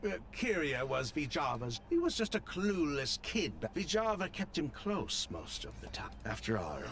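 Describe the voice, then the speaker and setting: A polite, easily flustered male merchant for a video game. His mid-pitched voice swings expressively as he explains himself. Noticeable noise sits behind him. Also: sing-song, normal speed, fairly bright tone. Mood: resigned, explanatory. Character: flustered, courteous male perfume merchant, ex-swindler.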